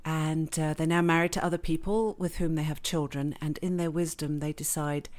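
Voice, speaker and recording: A woman from England, raised in Switzerland, with an English accent, chatting warmly and laughing often as a novelist being interviewed. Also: low-pitched, fairly even intonation, moderate pace. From born in England, grew up in Switzerland. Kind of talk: casual interview chat.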